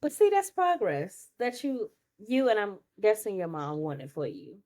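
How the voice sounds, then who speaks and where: A woman's voice: medium pitch, big pitch swings, a little slow, darkish tone. A woman hosting a casual podcast interview, chatting in an informal, slangy and affirming way. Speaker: podcast host.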